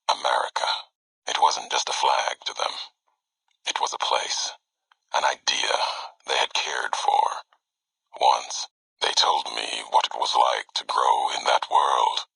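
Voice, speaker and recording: An actor playing a grim, brooding wasteland wanderer in a video game, with a gravelly, breathy rasp, speaking solemnly in terse, clipped phrases with deliberate pauses. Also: male voice, extremely low pitch, pushed and bright, dry recording, heavily acted, rough recording. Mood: solemn, haunted. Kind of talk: dramatic video game monologue, recorded-log narration.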